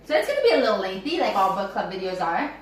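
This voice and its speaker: A playful, chatty woman bantering in a casual home video. Her voice is mid-pitched and carries from a distance off the mic. The room is very echoey, with a low hiss of background noise. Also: very animated, racing. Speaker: co-host of book club video. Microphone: camera mic at a distance.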